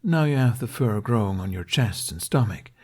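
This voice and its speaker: A man's voice: on the low side, animated, normal speed. A man voicing a cheerful male dragon companion in an audiobook, teasing and urging in a smug, eager manner. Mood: playful insistence.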